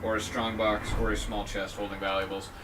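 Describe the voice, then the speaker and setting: A man's voice: on the low side, flat delivery, a little fast, recorded from a distance, music in the background. A man giving jokey, rambling let's-play commentary on a game, with mock-dramatic outbursts. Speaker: gaming video host.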